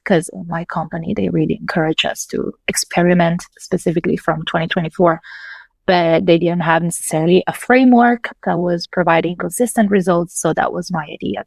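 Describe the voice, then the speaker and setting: Italian-born woman, low calm voice. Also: a little fast, vocal fry, no room sound. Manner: thoughtful, explains step by step with fillers. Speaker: podcast guest, localization manager. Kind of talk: casual interview talk.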